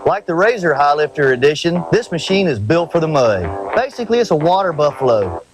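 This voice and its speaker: A man's voice: a little high, very animated, fairly quick, poor audio. Punchy male ad voice over music. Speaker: ad announcer.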